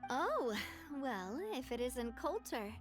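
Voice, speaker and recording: A gracious young gang leader. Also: female voice, fairly high pitch, sing-song, takes it slowly, over music. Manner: poised, warmly persuasive. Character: confident young woman leader, warm and gracious.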